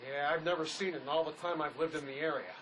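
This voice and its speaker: A man's voice: a little high, fairly even intonation, fast talker, background noise, close to an everyday voice. A man acting as a laid-back, resourceful escaped convict in a drama, speaking in a dry, folksy, matter-of-fact way. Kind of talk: dramatic script performance.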